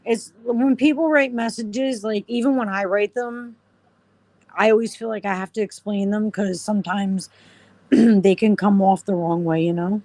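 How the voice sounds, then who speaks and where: A woman's voice: mid-range voice, fairly even intonation, a little slow, a little mellow, dry recording. Rambling woman hosting a livestream chat. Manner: rambling, many fillers and "you know". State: voice getting hoarse. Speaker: livestream host.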